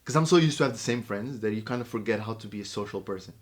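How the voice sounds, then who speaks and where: A young man from Portugal telling stories in a relaxed podcast chat, with many fillers. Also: lowish voice, racing. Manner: relaxed storytelling with lots of fillers. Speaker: podcast co-host. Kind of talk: casual chat.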